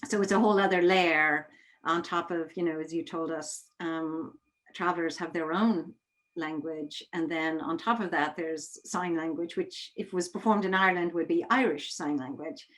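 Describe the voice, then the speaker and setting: A woman with a slightly low voice talks in a conversational interview setting. She is thoughtful and tends to restart and rephrase her sentences as she explains. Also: fairly even intonation, moderate pace, a bit bright. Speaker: interviewer, theatre artistic director.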